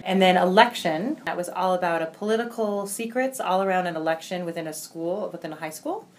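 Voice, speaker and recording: A woman's voice: lowish voice, a little fast. A woman explaining things informally in an interview, as the organizer of a program.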